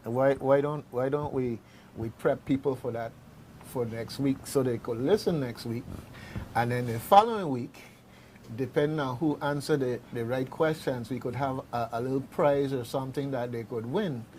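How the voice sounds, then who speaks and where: A man's voice: mid-range voice, moderate pace, a little mellow, a little hiss or hum. A man hosting a casual radio discussion, informal and jocular, often repeating his phrases as he goes. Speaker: radio host.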